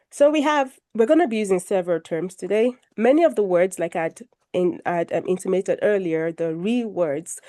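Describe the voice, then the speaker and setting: Slightly croaky woman hosting a video call. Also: on the low side. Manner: warm, formal introductions, light self-deprecating humor. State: recovering from a cold, a bit croaky. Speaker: moderator of an online health discussion. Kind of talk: hosting a video call panel, presenting slides.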